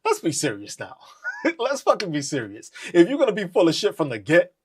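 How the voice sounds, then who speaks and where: A man's voice: high voice, huge pitch swings, brisk, slightly rough. A man hosting a podcast, ranting in a profane, mocking and confrontational way. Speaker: podcast host. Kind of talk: live political rant.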